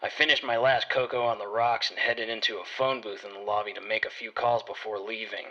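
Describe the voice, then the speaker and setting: A man's voice: on the low side, steady tone, fairly quick, highs cut off, background noise. A man narrating a scripted first-person detective story in a hard-boiled storytelling manner. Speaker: narrator playing a private detective.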